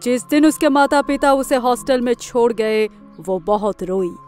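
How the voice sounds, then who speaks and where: A woman's voice: a little high, a little slow, darkish tone, lightly acted. A woman voicing a solemn school principal in a cartoon story. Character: solemn female school principal explaining a tragedy. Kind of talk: cartoon voice acting. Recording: faint music behind the voice.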